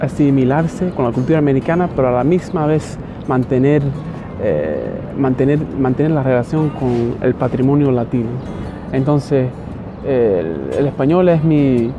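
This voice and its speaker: A young man from Miami, vlogging casually outdoors and talking with a hesitant, halting manner full of restarts. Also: medium pitch. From Miami, United States. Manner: hesitant, many restarts and fillers. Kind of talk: casual talk to camera. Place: outdoors in a city. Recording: dull lo-fi audio with loud background music.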